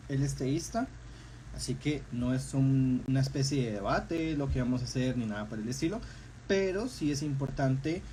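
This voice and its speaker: A Costa Rican man with a Costa Rican accent hosting a casual video-call interview, friendly, asking open questions. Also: a little high. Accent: Costa Rican Spanish. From Costa Rica. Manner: friendly, asks open questions with short backchannels. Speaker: host. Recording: faint background noise.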